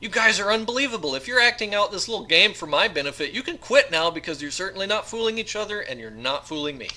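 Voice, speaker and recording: A man's voice: high-pitched, animated, fast talker, pushed and bright, voice pushed up, heavily acted. A man voicing a frightened young male prisoner in an audiobook, anxious, pleading and defiant. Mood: indignant. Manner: anxious, protesting.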